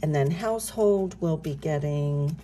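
Soft-spoken middle-aged woman hosting a budgeting vlog; low, mellow, slightly scratchy morning voice. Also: measured pace. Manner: soft-spoken, talking softly. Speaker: budgeting channel host. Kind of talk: casual chat while stuffing cash envelopes. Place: home desk, indoors.

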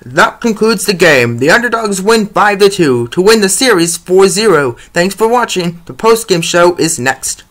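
A man giving scripted play-by-play narration as the announcer of an animated hockey series, reading out scores. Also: sits high, moderate pace, few pauses.

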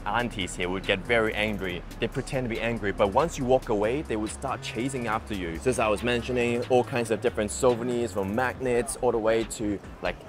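A man's voice: fairly low pitch, brisk, few pauses, over music. Hong Kong man chatting through city streets. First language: Cantonese. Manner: relaxed, explanatory, chatty. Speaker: travel vlogger. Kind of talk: casual travel vlog narration. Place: busy city streets outdoors.

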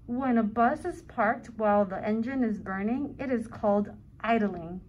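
A woman reading a picture book's speech bubbles aloud in an expressive way. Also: on the high side, moderate pace, dull audio, slight background noise.

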